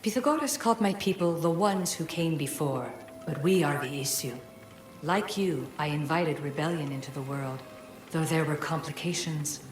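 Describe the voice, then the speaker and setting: Woman as ancient female oracle for a game: low, echoing, solemn, measured, music underneath. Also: lightly acted. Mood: cryptic, calm. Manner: formal, measured, oracular. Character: ancient godlike female being, serene, cryptic, solemn. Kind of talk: video game voice acting.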